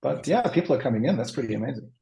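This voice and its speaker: A man co-presents a webinar in casual conversation. He is chatty and informal, with a medium-pitched voice. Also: lively intonation, racing, creaky, mellow. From Germany. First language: German. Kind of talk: casual chat.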